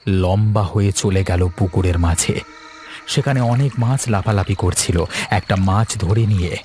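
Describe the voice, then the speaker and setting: A man's voice: fairly low pitch, a little mellow. A man narrating a scripted ghost story for a cartoon.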